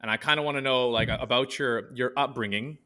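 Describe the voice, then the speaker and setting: A man's voice: medium pitch, a little fast, pushed and bright. A man hosting an interview podcast, asking casual, upbeat, rambling questions. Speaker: podcast host.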